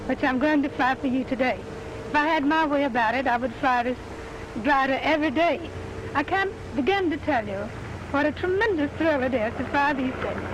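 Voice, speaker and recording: A high-pitched woman speaks in a rehearsed, polite and enthusiastic way, as a pilot addressing a 1930s newsreel camera. It is an old outdoor film recording with clear background noise. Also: muffled recording. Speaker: glider pilot demonstrator.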